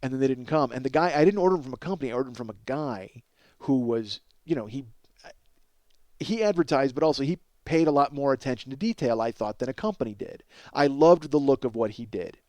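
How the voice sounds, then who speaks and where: A man in middle age delivers a casual comedic monologue as a podcast host, at a medium pitch in a dry, echo-free recording. He is profane and self-deprecating, keeps wandering off on digressions, and gets excitable as he exaggerates for laughs. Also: fast. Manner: exaggerates for comic effect, excitable.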